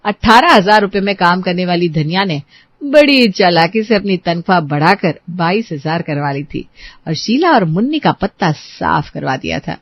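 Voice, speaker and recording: A woman's voice: fairly low pitch, big pitch swings, normal speed, no room sound. A woman narrating a scripted domestic story.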